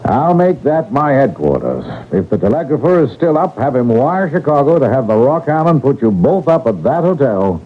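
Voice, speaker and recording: Brisk detective on muffled old radio. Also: male voice, mid-range voice, sing-song. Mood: commanding. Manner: commanding, matter-of-fact. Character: brisk, authoritative male detective taking charge of a robbery case. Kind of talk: radio mystery drama acting. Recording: muffled narrowband old radio broadcast, faint hiss.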